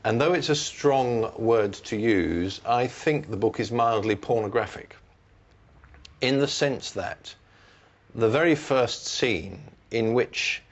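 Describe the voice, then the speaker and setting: A man on a book discussion panel, giving criticism in measured, formal, deliberate phrases. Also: mid-range voice, highs cut off.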